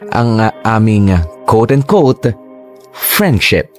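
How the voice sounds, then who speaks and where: A man's voice: fairly low pitch, lively intonation, slow, faint music behind. A man in his late twenties telling his story in a confiding, candid way. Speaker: narrator. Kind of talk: scripted storytelling.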